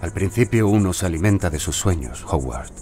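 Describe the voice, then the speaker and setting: An older aristocratic patron in an audio drama. His voice is low and grave, firm and formal with resignation. Music is clearly audible underneath. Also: lively intonation, fairly quick. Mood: resigned.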